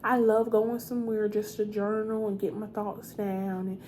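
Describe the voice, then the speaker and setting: A woman playing a sweet, chatty, gossipy hotel receptionist in a soft-spoken, confiding ASMR roleplay, repeating her words. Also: mid-range voice, level tone, moderate pace, slight background noise, close to an everyday voice. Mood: helpful, friendly.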